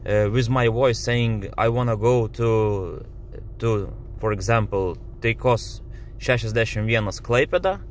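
Lithuanian guy in his car, slow frustrated english, lots of uhs, faint car hum behind. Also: medium pitch. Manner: frustrated, halting, many fillers. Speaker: driver demonstrating a car app. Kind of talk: casual complaint video. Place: inside a parked car.

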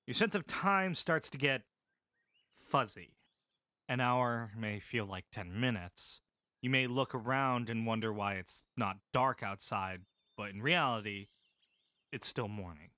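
A man voicing a calm, caring male medic soldier who speaks steadily, seriously and with compassion. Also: medium pitch, measured pace, no room sound, studio quality. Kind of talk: full-cast audiobook acting.

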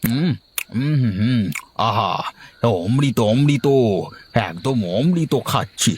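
A man voicing an old village father-in-law who runs an eatery in a cartoon, with a husky, drawn-out, exclaiming delivery. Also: mid-range voice, animated, a little hiss or hum. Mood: delighted, savouring. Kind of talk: cartoon voice acting.

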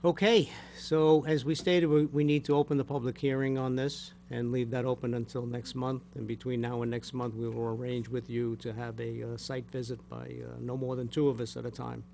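Older male, board chair, medium pitch, measured, courteous, formal meeting procedure, faint background hum. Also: flat delivery, creaky, dark tone. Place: municipal meeting room. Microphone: gooseneck desk microphone.

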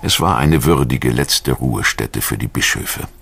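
Very deep, gravelly male documentary narrator. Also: measured pace, over music. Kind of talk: scripted narration.

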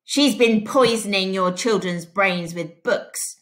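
A woman voicing an angry male mob leader who shouts his lines. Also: on the low side, expressive, unhurried.

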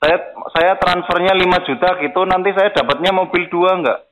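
A man's voice: high-pitched, moderate pace, a crowd in the background. A man chatting casually on a phone call, asking eager, naive questions. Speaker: prank caller playing a gullible prize winner. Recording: dull lo-fi phone call audio.

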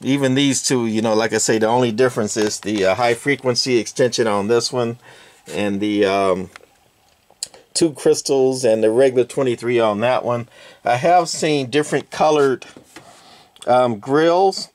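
A man's voice: mid-range voice, moderate pace, a little mellow. A man casually walking through vintage radio gear in a workshop, rambling with lots of fillers and hedges. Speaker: radio collector. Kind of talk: casual hands-on walkthrough. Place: cluttered workshop with shelving.

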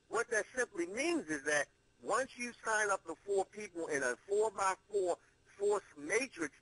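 Man, high bright voice, emphatic sales pitch, deliberate pauses, dry close sound. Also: no echo, low-quality recording. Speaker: presenter pitching an online money-making program. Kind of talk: promotional conference-call sales pitch.

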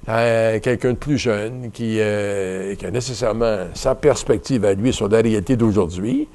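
A man in his seventies with a Canadian French accent, a retiring bishop being interviewed, who talks in a relaxed, reflective way with many fillers and restarts. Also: lowish voice, moderate pace. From Ottawa, Canada. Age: 70s. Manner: reflective and rambling, many fillers and restarts, gestures while talking. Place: small bright indoor studio at a table.

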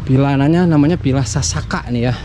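A man's voice: medium pitch, fast. Guy filming a villa tour on a handheld cam, chatty, lots of filler words, noisy audio. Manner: chatty, loose, full of fillers. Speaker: vlogger touring a villa. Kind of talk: casual walkthrough commentary. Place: indoors and outdoors at a mountain lakeside villa.

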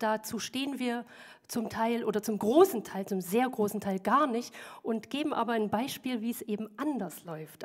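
A middle-aged woman singer speaking earnestly into a handheld microphone on a small concert stage, describing her music with animated gestures. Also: fairly high pitch. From Germany, Hungarian father. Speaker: band singer. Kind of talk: on-stage interview chat.

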